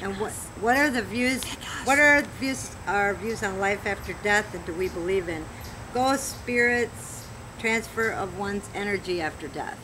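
A woman's voice: medium pitch, measured pace. A woman in her fifties from Michigan reads out audition questions in a casual group chat outdoors, with frequent laughs. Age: 50s. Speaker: applicant reading audition questions. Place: outdoors in a garden, seated. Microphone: camera mic at a distance. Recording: outdoor noise under the voice.

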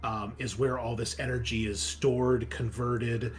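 A man's voice: medium pitch, little pitch movement, unhurried. A man narrating casually as game master of a tabletop roleplaying game. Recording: noticeable background noise.

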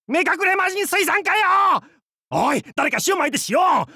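Game pirate captain, gravelly, husky, hammy, jokey, wildly swinging pitch. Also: male voice, sing-song, a little slow, sharp tone, no room sound, exaggerated voice. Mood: joking, rambling. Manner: hammy, theatrical, jokey otaku-style speech. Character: boisterous adult male pirate captain, crude and goofy. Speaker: game character voice. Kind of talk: voiced game character lines.

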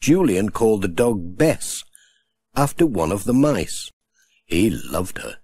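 A man reading a children's storybook aloud with gentle, expressive storytelling. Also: fairly low pitch, slow pace, husky, no echo. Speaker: audiobook narrator.

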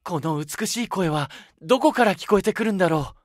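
A man's voice: high-pitched, lively intonation, fairly quick, no room sound, studio quality, voice pushed up. A man voicing an ardent, gallant young prince in a children's storybook cartoon. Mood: curious.

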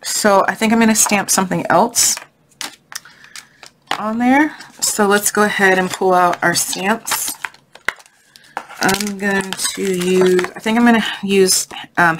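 A woman hosting a casual craft tutorial, thinking aloud in a chatty, easygoing, unscripted way. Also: mid-range voice. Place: indoors at a craft table. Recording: noisy home recording with clicks.

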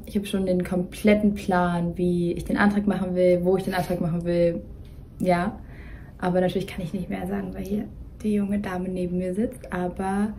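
A woman's voice: medium pitch, mellow, a little hiss or hum. A young woman talking casually as a co-host, rambling and slipping English words into her speech. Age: young adult. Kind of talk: casual chat. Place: dim bedroom, indoors.

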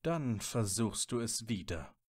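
Audio drama: bitter, guarded wizard male actor, lowish pitch, clipped sardonic delivery, dry sound. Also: lively intonation, takes it slowly, husky, no echo, heavily acted. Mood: sober, matter-of-fact. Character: stern, guarded adult wizard, bitter and tormented. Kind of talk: fan audio drama acting.

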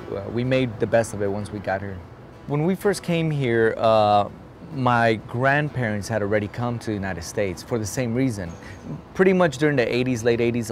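A man in his forties, originally from Guatemala, talking about his life in an outdoor interview, in an earnest, conversational way. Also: lowish voice. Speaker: interviewee, artist. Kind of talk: casual interview talk. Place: outdoor patio in daylight.

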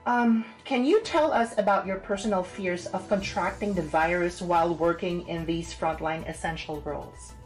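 A woman hosting an interview in a polite, measured, encouraging way. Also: lowish voice, soft background music, low-quality recording. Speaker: interviewer, show host. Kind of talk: interview questioning.

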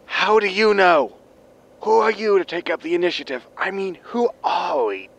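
An actor playing a bitter, ailing future spaceship captain, with a wheezy smoker's voice and a scornful, lecturing manner, in a science fiction comedy audio drama. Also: male voice, high-pitched, expressive, normal speed, vocal fry, a bit bright, exaggerated voice, poor audio. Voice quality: wheezy smoker's lungs, coughing.

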